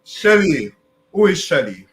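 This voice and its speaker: A man's voice: a little high, sing-song, a little slow, reverberant, a little hiss or hum. A man from Jamaica, hosting a podcast interview and asking long, rambling questions with many restarts and repeated words. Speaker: podcast host.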